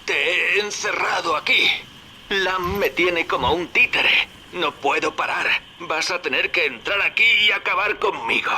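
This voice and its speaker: Through a noisy radio comes a tormented man under mind control. His voice is strained, rather high and very sharp. He sounds resigned and apologetic, in dramatic game style. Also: a little slow, full character voice. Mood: resigned, pleading. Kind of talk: dramatic video game acting.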